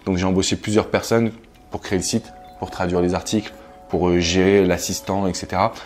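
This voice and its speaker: A man answers questions in a relaxed, conversational interview. His voice sits fairly low, picked up by a lapel mic in a living room, with soft music underneath. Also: brisk. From France. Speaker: interview guest, coach and entrepreneur. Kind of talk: casual interview talk. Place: living room, on a sofa indoors. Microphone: clip-on lapel mic. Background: background music.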